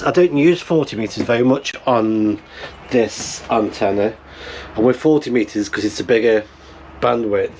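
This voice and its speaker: A middle-aged man chatting casually as he tinkers, halting and thinking aloud, recorded indoors on a camera mic. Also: fairly low pitch, a little mellow, a little hiss or hum, poor audio. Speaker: amateur radio vlogger. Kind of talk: casual vlog chat while tinkering and unboxing. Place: small wooden cabin, indoors.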